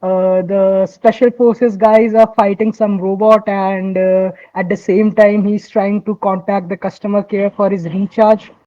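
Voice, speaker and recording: A young man from India talks casually on a gaming podcast with a noticeably high voice. He speaks in a relaxed way and pauses often with fillers. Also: muffled recording. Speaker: podcast co-host. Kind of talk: casual chat.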